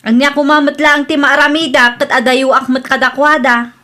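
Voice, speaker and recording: A woman's voice: sits high, level tone, brisk, sharp tone. A woman acting a defiant, uncaring wife working abroad in an audio drama, speaking curtly with an Ilocano accent. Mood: indifferent.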